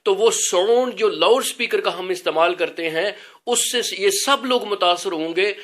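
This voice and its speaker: A man giving an informal religious talk as an educator, explaining things in an earnest, measured way. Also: sits high, a bit bright.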